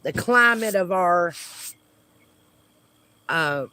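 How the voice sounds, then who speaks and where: High, bright-voiced Southern man, slow chat. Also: animated, smooth. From United States. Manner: hesitant, many fillers, friendly small talk. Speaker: homesteading channel host. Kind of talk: casual livestream chat with viewers. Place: outdoors at home.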